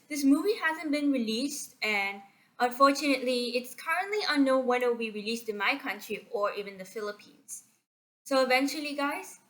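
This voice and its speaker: Young woman from Malaysia, slightly high-pitched, unscripted and halting, recorded on a computer mic. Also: a bit bright. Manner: hesitant, many fillers and restarts, unsure of facts. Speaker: video creator. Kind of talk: casual spoken movie summary. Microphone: computer screen recording mic.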